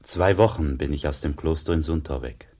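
An actor playing a humble, soft-spoken traveling monk in a radio play, with a husky voice. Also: male voice, very deep voice, normal speed. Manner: humble, quiet. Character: humble wandering monk, Father Eugen.

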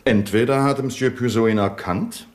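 An actor playing a calm police inspector who asks measured, dryly probing questions in a 1970s radio drama. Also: male voice, mid-range voice, natural voice.

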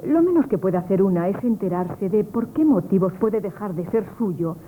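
A woman's voice: medium pitch, animated, normal speed, close to an everyday voice. An actress playing a spirited, determined young woman, firm and pert, in a Spanish radio play. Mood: assertive. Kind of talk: Spanish radio theatre acting, 1970s. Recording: thin, tinny, noisy old radio broadcast.

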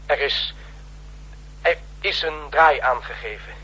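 A man's voice: a little high, slow, pushed and bright, slightly rough, exaggerated voice. A man acting a flustered, servile ambassador who stammers deferentially in his own defence, in a 1960s Dutch radio drama. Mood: defensive. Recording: noisy old radio recording with hum.

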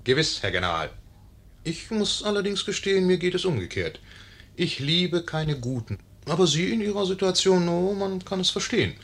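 An actor playing a wry, knowing narrator in a 1950s radio play, ironic and musing. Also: male voice, high-pitched, sing-song, normal speed, creaky, a bit bright, exaggerated voice. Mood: wry, amused. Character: wry, knowing male narrator commenting on the intrigue.

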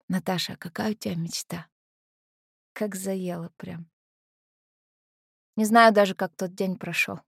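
A woman acts out a brash teenage girl from an orphanage, hopelessly in love. She tells her story as a stage-style monologue, slangy and confiding, with naive openness. The voice sits at a middle pitch and the recording is close and dry. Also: gravelly, no room sound. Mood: dreamy, impatient. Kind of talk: dramatic first-person monologue.

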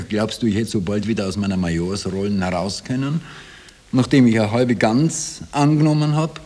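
A man's voice: fairly low pitch, darkish tone, natural voice. An actor playing a haunted Austrian former corporal, telling his wartime imposture in colloquial dialect in a 1960s radio drama. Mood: agitated justification. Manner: colloquial dialect storytelling, broken into short phrases. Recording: hissy old radio tape.

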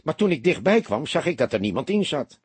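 A man acts out a small-time male crook from an audiobook. He is cheeky and quick to defend himself, grumbling in street talk. The voice is very husky and jumps around in pitch. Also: big pitch swings, dry recording, heavily acted. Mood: matter-of-fact.

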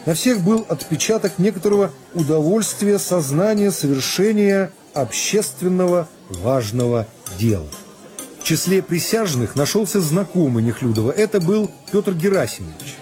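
A male narrator reads literary prose for radio with measured expression. His voice is very mellow and relaxed, sitting slightly higher than average for a man. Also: soft background music.

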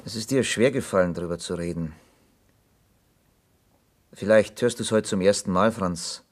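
Investigator, radio drama: patient, probing, low-pitched, measured but insistent questioning. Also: male voice. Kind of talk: German radio play acting.

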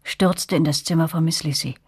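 An actress narrating a radio drama as a reflective woman recalling her girlhood, with a breathy, husky voice. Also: low voice, fairly even intonation, moderate pace, darkish tone, no echo. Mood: frightened, urgent.

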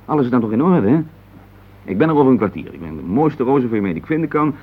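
An actor playing a busy, dismissive and placating businessman husband in a radio play, with a brisk, patronising manner. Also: medium pitch, very animated, husky. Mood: cheerful, placating. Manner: brisk, patronising, full of tag questions. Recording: dull lo-fi radio play recording.

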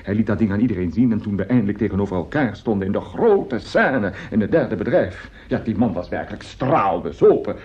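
A man's voice: lowish voice, expressive, fairly quick, hardly any pauses, darkish tone, natural voice. An actor in a radio play as a boastful, jovial, germ-shy opera singer who chats effusively and repeats his words. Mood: animated storytelling. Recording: dull, lo-fi old radio recording.

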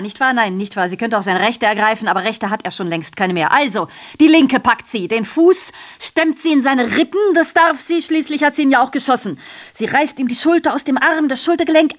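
A woman's voice: a little high, close to an everyday voice. A woman acting a sardonic messenger in a literary radio play, declaiming ironically and constantly correcting herself. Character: sardonic female messenger reporting atrocities, digressive and self-correcting. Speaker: radio drama performer. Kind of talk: literary radio play monologue, theatrical narration.

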